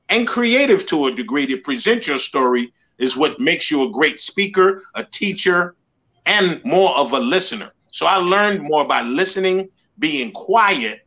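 A man's voice: a little high, lively intonation, measured pace, sharp tone, low-quality recording. A man in his sixties from the Bronx, a motivational speaker telling his story earnestly in an interview. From New York, the Bronx. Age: 60s. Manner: earnest, emphatic storytelling with repeated slogans. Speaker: guest, motivational speaker and life coach. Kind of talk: interview conversation.

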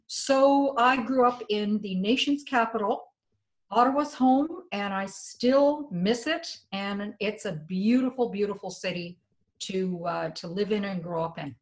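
An older woman from Canada with a Canadian accent, a guest on a podcast, telling stories from her past in a relaxed conversational way and remembering dates precisely. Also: mid-range voice, measured pace. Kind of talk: casual conversation.

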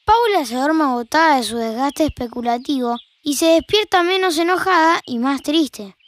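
High-voiced Argentine woman wry narrator. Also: animated, moderate pace. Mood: wry, observant. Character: wry all-knowing female narrator of domestic life. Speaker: narrator of an audio fiction. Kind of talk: scripted wry literary narration. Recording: music bed behind a dry studio voice.

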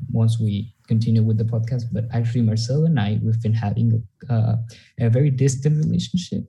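A young podcast host from Mexico opens with easy, halting chat, restarting sentences and filling gaps with "actually". His voice is very dark and mellow with a husky edge. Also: medium pitch, rough recording. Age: young adult. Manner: halting, many fillers and "actually".

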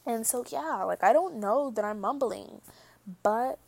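A young woman talking casually to her viewers in a soft, slightly mumbled voice. She rambles, restarts her sentences and leans on filler words as she goes. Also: fairly high pitch, lively intonation, measured pace, vocal fry. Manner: rambling, full of likes and restarts; soft-spoken, tends to mumble. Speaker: vlogger. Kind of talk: casual monologue to camera.

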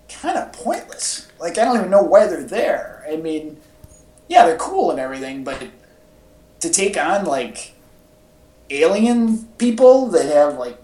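A man's voice: a little high, big pitch swings, measured pace, a little mellow. A man chatting casually as a podcast host, talking like an enthusiastic fan with plenty of casual slang. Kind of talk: casual pop-culture podcast chat. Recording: echoey, roomy home podcast audio.

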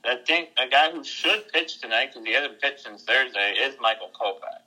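A young co-host talks in a casual sports podcast, matter-of-fact as he reports the state of play. His voice is bright and sharp, sitting at an ordinary middle pitch. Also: lively intonation, moderate pace, breathy edge, rough recording. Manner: matter-of-fact, gives game updates.

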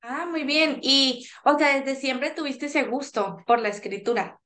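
A woman with a Mexican Spanish accent hosting a casual interview podcast, upbeat and quick with enthusiastic exclamations. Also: sits high, fairly bright tone. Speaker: podcast host.

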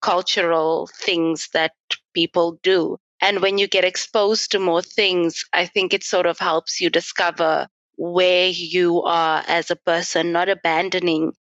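A woman's voice: lowish voice, flat delivery, a little slow, a bit bright, dry recording, highs cut off. A young woman from South Africa, a podcast guest, talking thoughtfully and earnestly about her identity. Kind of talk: reflective podcast interview.

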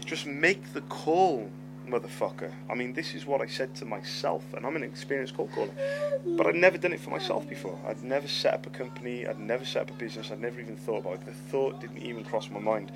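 A man's voice: mid-range voice, fast, background noise. Man with a lisp, stammering. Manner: swears freely, repeats words, animated retelling. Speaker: podcast host. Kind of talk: storytelling monologue. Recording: loud music behind voice.

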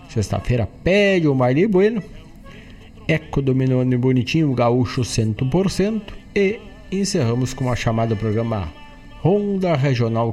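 A man's voice: medium pitch, warm tone. A man with a southern Brazilian gaúcho accent announcing as a radio host. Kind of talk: radio announcing, reading a playlist.

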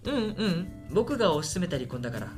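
Man playing a shy student with lively pitch. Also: fast talker, over music. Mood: deadpan. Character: shy lonely male university student, soft-spoken, quietly scheming. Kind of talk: radio drama dialogue and first-person narration.

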